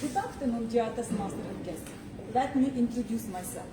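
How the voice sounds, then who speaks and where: A woman's voice: a little high, close to an everyday voice. Boastful female flying cat, acted by a woman, theatrical storytelling; distant mic in a noisy room. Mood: playful, proud. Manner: theatrical, deliberate, playfully boastful. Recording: distant roomy pickup with clear noise.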